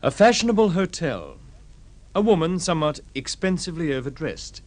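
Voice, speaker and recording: An actor playing a portentous story narrator in mid-century radio drama style, with deliberate phrasing. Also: male voice, a little high, sing-song, a little mellow. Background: music.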